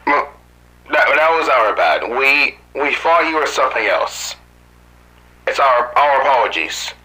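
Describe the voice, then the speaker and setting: A man playing a wary human guard at a laboratory in an amateur animated drama, with a tense, halting delivery. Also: mid-range voice, full character voice, rough recording. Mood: apologetic.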